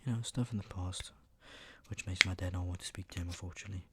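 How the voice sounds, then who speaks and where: A young man speaking in a soft ASMR half-whisper, unhurried and rambling, with a slightly sore, flu-worn voice. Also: deep voice, steady tone. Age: young adult. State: mildly sick, recovering from flu, sore voice. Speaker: ASMR video host. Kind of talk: ASMR, soft-spoken product show-and-tell. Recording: noticeable background noise with clicks.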